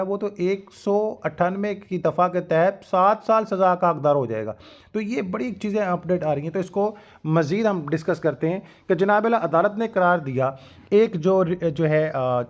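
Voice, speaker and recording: A man's voice: a little high, darkish tone. A man with a Pakistani accent lecturing on law, formally reading out notes and breaking off to explain points directly to students. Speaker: law lecturer and channel host. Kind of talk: lecture mixing read-out legal notes with explanatory asides.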